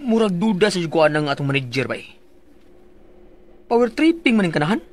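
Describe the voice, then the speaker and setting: A man's voice: high voice, big pitch swings, a little fast. A man voicing a curious, friendly male coworker in a radio drama, chatting casually and asking questions.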